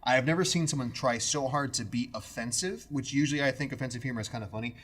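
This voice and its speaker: A man's voice: mid-range voice, fast talker, a bit bright, slightly rough. A man co-hosting a podcast, bantering casually and ranting with exasperation and slangy exclamations. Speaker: podcast co-host. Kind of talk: casual banter about video game movies.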